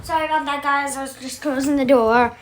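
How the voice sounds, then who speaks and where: A woman's voice: high voice, fairly even intonation, fairly quick, noisy background. A woman hosting a channel, casually talking through a demo of voice effects. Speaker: channel host.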